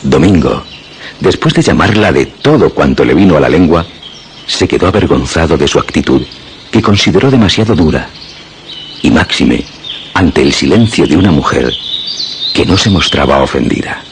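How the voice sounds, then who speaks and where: Male radio drama narrator, extremely deep pitch, expressive range, literary storytelling, music behind. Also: normal speed, a little hiss or hum.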